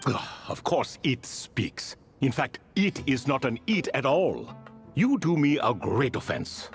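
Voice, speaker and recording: Pompous, talkative talking cat, theatrical swooping voice. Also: male voice, medium pitch, sing-song, slow, faint music behind. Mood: haughty. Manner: formal, pompous, loquacious. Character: talkative, proud, courtly ancient talking male cat. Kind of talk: video game character acting.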